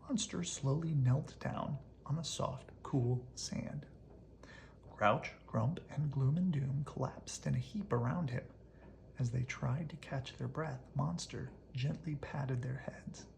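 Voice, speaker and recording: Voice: mid-range voice, slow, creaky, a little mellow, slight background noise. A storybook reader narrating expressively aloud for children, as if at bedtime.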